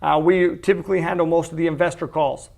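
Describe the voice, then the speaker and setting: A man's voice: a little high, steady tone, fast, creaky, darkish tone. A man presenting a real estate investment walkthrough in a conversational, explanatory way, often checking in with the listener. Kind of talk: webinar-style investment pitch, informal scripted walkthrough.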